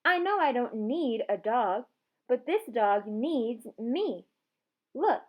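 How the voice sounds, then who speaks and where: A woman's voice: a little high, sing-song, slow pace, highs cut off, full character voice. A woman voicing an earnest, polite young girl pleading with her father in a storybook reading. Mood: insistent.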